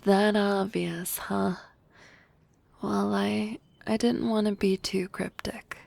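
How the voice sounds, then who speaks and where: Woman playing shy but bold harpy classmate, asmr romance, soft whispery voice w some creak, halting n teasing, super close dry sound. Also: mid-range voice, little pitch movement, no room sound, heavily acted. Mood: coy, nervous. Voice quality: soft, breathy, often whispering.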